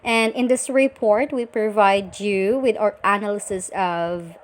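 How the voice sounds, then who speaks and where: A woman from the Philippines with a Filipino accent, speaking politely and deliberately as a virtual assistant on a scripted sales call. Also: medium pitch. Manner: polite, deliberate, frequent acknowledging murmurs.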